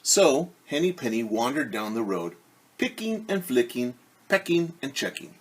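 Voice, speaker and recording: A man reading a children's story aloud as a teacher, expressive, with casual asides that explain things simply. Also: mid-range voice, moderate pace, husky, low-quality recording.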